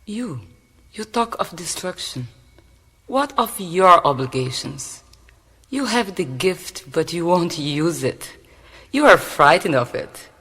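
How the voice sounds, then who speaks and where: A woman's voice: on the low side, animated, slow, fairly bright tone, breathy edge, in a large hall, full character voice. An actress with a Brazilian Portuguese accent playing a mysterious woman who sternly questions a reluctant shaman in a radio play. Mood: reproachful. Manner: stern, searching, probing questions.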